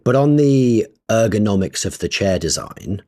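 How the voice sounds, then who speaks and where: A man's voice: lowish voice, sing-song, normal speed, warm tone. A man co-hosting a podcast, talking in a casual, hesitant and thoughtful way with many fillers. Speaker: co-host.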